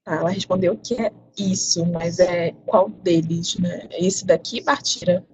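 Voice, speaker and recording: A woman demonstrating a software platform on a webinar screen share, reading out lists in an explanatory, casual way. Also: on the low side, steady tone, unhurried. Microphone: video call. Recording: dull lo-fi audio.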